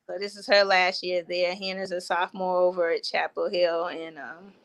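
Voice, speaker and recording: A woman's voice: on the low side, little pitch movement, moderate pace. A woman in her forties, a mother of four, answering interview questions in a modest, slightly hesitant way. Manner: modest, a bit hesitant, many fillers. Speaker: guest. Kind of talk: casual interview conversation.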